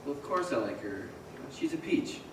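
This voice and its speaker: A man's voice: mid-range voice, sing-song, normal speed, lightly acted. An actor playing a stubborn, awkward, grumbling young small-town man in an old-time radio play. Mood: grudging. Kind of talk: old-time radio drama stage acting. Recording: echoey theater, distant mic, faint noise.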